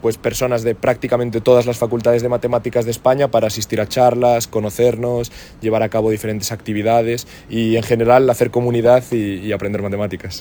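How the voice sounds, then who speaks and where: A young man organizing a student event, explaining it in a relaxed, even way. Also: medium pitch. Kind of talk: interview soundbite.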